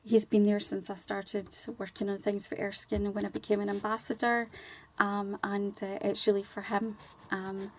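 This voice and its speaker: A woman's voice: mid-range voice, level tone, normal speed. A woman talking conversationally as a guest in a radio interview, explaining things in an earnest way. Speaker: guest, charity volunteer and community ambassador.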